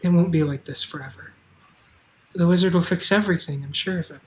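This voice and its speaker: A woman's voice: on the low side, a little fast, natural voice, poor audio. A woman playing a kind, practical young farm girl in a live fantasy table read, earnest and reassuring.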